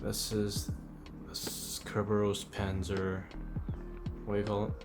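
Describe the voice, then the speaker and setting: Male reviewer, fairly deep voice, informal and offhand, rambling chat over a music bed. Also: completely flat delivery, unhurried. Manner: informal, offhand, thinking aloud with mild swearing. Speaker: host of a toy figure review channel. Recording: music under the voice. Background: background music.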